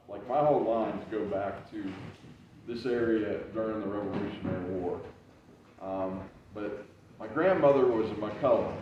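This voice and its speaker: A man with a fairly low, loud voice talks loosely to an audience, circling back with plenty of hesitations. He is heard from well away from the microphone, in a strongly echoing room. The sound is dull and muffled, with a faint hum underneath. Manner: loose, rambling telling with many "uh"s and restarts. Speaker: historical reenactor giving a library talk. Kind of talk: casual talk to an audience. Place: library meeting room. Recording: very echoey, distant, dull room recording.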